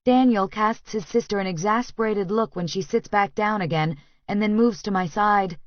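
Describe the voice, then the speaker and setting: A woman's voice: medium pitch, normal speed, dry recording, highs cut off. A woman reading first-person fiction as a scripted audiobook narration.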